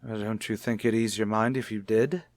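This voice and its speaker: An actor playing a reasonable, soothing husband in an old radio soap, speaking in a measured, explanatory way. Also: male voice, on the low side, fairly even intonation, no echo, clean studio sound, lightly acted. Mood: gently persuasive. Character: reasonable, soothing husband confiding in his anxious wife. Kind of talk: mid-century radio soap acting.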